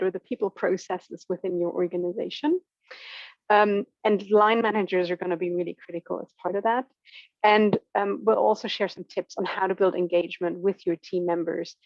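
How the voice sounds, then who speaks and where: A woman's voice: medium pitch, no echo, muffled recording. A woman leading an online workshop, explaining ideas in a conversational way with many fillers. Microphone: video call.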